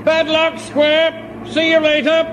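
An actor playing a pompous, bossy middle-aged officer in a radio comedy. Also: male voice, very high-pitched, completely flat delivery, slow pace, noisy background.

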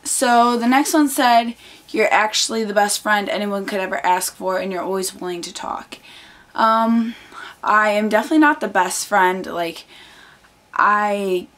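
A woman's voice: mid-range voice, measured pace, fairly bright tone. Teen girl with a tired, strained voice. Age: teens. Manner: chatty, earnest, many fillers. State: losing her voice. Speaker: vlogger. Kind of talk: casual chat to camera. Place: bedroom, indoors. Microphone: camera on desk, close framing.

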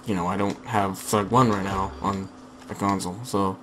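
Slightly hoarse young man, rambling gamer. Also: low voice, steady tone, moderate pace, fairly bright tone, a little hiss or hum, rough recording. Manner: rambling, filler-heavy chatter with frequent restarts. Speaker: gaming channel host. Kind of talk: casual gameplay commentary. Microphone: headset.

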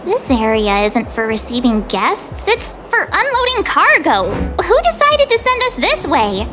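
An actress playing a perky, outspoken teenage girl adventurer in a video game, informal, brash and expressive. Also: very high-pitched, unhurried, music in the background, heavily acted. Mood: surprised, uneasy. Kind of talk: video game cutscene dialogue.

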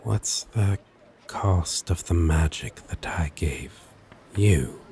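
A man playing a lovesick, teasing demon in an intimate ASMR audio roleplay, halting and self-deprecating, with long pauses. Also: deep voice, animated, dark tone, slight background noise, full character voice. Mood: guarded, hesitant.

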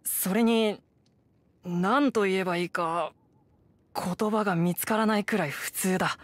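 A man playing an earnest young male adventurer in an anime game, speaking in a halting, dismayed way. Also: high voice. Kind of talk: Japanese anime game acting.

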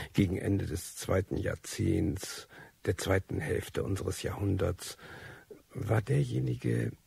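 Jaded nameless narrator in a radio play: dark, gravelly, dry sound, slow and digressive. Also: male voice, low voice, no room sound, heavily acted. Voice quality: husky, gravelly. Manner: slow, rambling, indifferent, digressive. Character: world-weary anonymous man recording his life story. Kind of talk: radio drama monologue.

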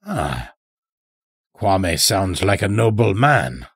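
A man's voice: low voice, very animated, takes it slowly, husky, no echo, studio quality, full character voice. A man voicing a folksy, taunting old elder in an audio story, chatty and needling with rustic phrasing. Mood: musing, needling. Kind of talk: dramatic audio story character.

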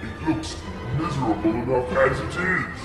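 An actor playing a menacing alien captor who gives imperious commands in a pulp sci-fi radio serial. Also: male voice, medium pitch, expressive, moderate pace, reverberant, over music, natural voice. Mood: cold, disdainful.

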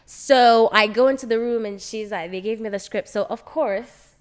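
A woman's voice: a little high, moderate pace. A young woman with a Jamaican accent, telling stories casually and expressively as a podcast guest, acting out dialogue as she goes. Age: young adult. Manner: expressive, acts out quoted dialogue. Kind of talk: animated casual storytelling. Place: bright loft living room. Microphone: podcast microphone on boom arm.

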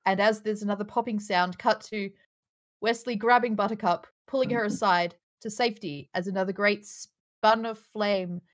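A woman's voice: medium pitch, steady tone, normal speed. A woman reading stage directions aloud as narrator in a live script table read over a video call.

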